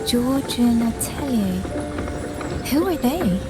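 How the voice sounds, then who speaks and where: A woman voicing an earnest, courteous young ranger in a video game, speaking in measured, formal phrases. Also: on the high side, lively intonation. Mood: puzzled, concerned. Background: prominent game music.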